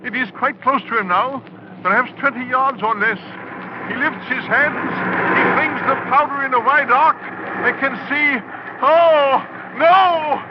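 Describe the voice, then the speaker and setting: An actor playing an awestruck doctor who reports formally and dramatically as an eyewitness in 1940s radio suspense style. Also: male voice, very high-pitched, unhurried. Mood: rising horror. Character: awestruck male doctor reporting a monster live on air. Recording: noisy, muffled old radio broadcast recording.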